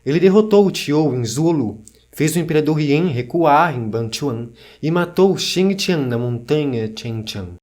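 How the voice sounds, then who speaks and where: A man playing a solemn chronicler, narrating ancient legends in a measured, scripted way for an audio drama. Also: fairly high pitch, lively intonation, a little mellow. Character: solemn male chronicler reading ancient myths.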